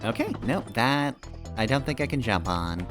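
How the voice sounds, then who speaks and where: A man's voice: mid-range voice, animated, moderate pace. A man giving casual, rambling live commentary while he plays a video game, thinking aloud as he goes. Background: video game music.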